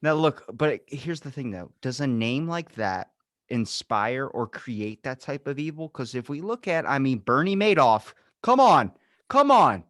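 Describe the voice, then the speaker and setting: A man talks in a loose, joking podcast chat, quick to play up mock outrage. His voice has an average pitch for a man and is recorded very dry, with no room sound. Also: big pitch swings, fairly bright tone, no echo. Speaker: podcast host. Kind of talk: casual comedic chat.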